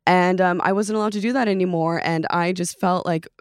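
A young adult woman from Norway, a native Norwegian speaker, chatting as a podcast guest in a relaxed, meandering way with frequent fillers. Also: lowish voice, fairly quick, clean tone, no room sound. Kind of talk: casual chat.

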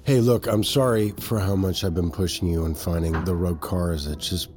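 A man's voice: sits low, steady tone, moderate pace, natural voice. An actor playing an affectionate, casual father in a naturalistic audio drama. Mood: apologetic, intimate. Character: goofy, affectionate dad learning phrases, confiding lover. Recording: faint music behind.

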